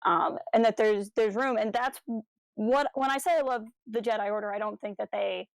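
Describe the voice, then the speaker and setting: Woman, podcast co-host, casual discussion, earnest, analytical, mid-pitched voice, dry recording. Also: moderate pace, vocal fry, no echo.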